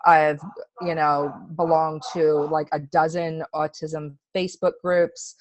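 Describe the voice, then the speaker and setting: Female testimonial speaker, lower-than-average voice, earnest and conversational with heavy emphasis, very little room sound. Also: level tone, slow, no room sound, low-quality recording. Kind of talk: casual personal testimonial.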